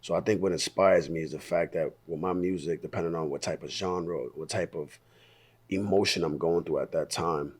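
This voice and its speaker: Hoarse man, 30s, from Staten Island, very low raspy voice, loose rambling interview talk. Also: fairly even intonation, normal speed. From Staten Island, New York. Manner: laid-back, rambling, frequent throat clearing. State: hoarse, voice partly lost. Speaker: musician being interviewed. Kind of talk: casual interview chat.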